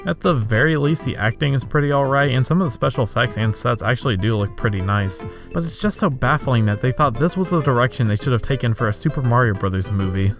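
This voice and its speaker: A man's voice: mid-range voice, animated, fast, hardly any pauses. A man narrating a scripted comedic video review in a sarcastic, exasperated way. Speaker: video essay host. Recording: background music under voice. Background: music.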